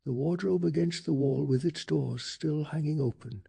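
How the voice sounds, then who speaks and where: A man narrating a bedtime story in a soft-spoken ASMR style, calm, hushed and deliberate. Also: on the high side, vocal fry, slightly rough.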